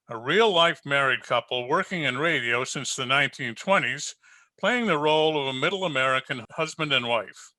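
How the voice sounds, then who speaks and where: A man's voice: a little high, bright, forceful tone, no room sound, rough recording. A man working as announcer, reading scripted narration in the style of old-time radio, recorded over a video call.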